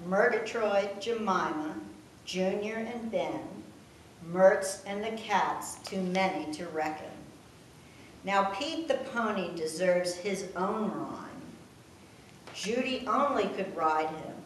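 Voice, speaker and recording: An older woman reading a rhyming tribute poem aloud with a measured, sing-song delivery. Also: medium pitch, animated. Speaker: family member reading her own poem. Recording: echoey room, distant mic, faint noise.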